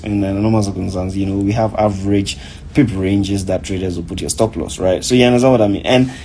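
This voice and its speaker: Man from Africa, forex trader, low voice, rambling casual explainer, faint background noise. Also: darkish tone. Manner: conversational, rambling, fills with "you know" and "right?". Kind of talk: casual chart walkthrough.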